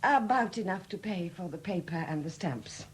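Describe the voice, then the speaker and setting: Actress as a weary, hesitant widow, noisy radio recording. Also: female voice, on the low side, lively intonation, close to an everyday voice. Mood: dry, candid. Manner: hesitant, halting, repeating words. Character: weary, flustered newly widowed sculptress, candid and proud. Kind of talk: 1970s BBC radio drama acting.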